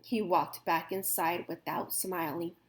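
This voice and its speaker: A woman reading a children's picture book aloud in an expressive, gentle storytime manner. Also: lowish voice, measured pace, slight background noise.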